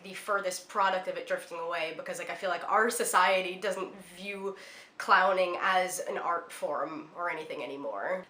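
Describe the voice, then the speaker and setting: A woman in her twenties talks casually about films she loves, her speech full of "like" and "um". She sounds a little congested from a cold, with a bright, forward tone. The room around her is echoey. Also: lowish voice, a little hiss or hum. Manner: chatty, lots of "like" and "um" fillers. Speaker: film vlogger. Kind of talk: casual film recommendations to camera.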